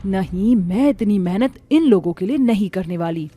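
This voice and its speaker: A woman's voice: mid-range voice, lively intonation, moderate pace, dark tone, natural voice. A woman voicing a resentful, scheming elder daughter-in-law in a cartoon, grumbling her complaints.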